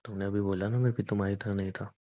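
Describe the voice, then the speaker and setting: A man's voice: on the low side, flat delivery, fast, dark tone, lightly acted. A man playing a stubborn, teasing young boyfriend in a romantic phone-call roleplay, argumentative and coaxing in casual conversation. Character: stubborn, teasing young boyfriend defending his boys' trip. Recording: dull lo-fi phone call recording.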